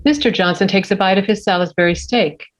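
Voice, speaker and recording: A woman reading screenplay scene directions aloud at a table read, plain and measured. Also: mid-range voice. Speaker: stage-direction reader.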